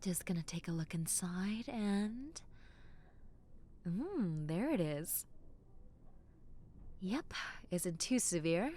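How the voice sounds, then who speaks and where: A young woman voicing a gentle, caring dentist with a playful teasing side, speaking unhurriedly in a lowish voice that rises and falls expressively. She reassures softly and often sinks into a hushed whisper. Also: expressive, slow, long pauses. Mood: soothing. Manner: playful, with frequent soft giggles. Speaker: voice actress in audio roleplay. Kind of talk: ASMR roleplay.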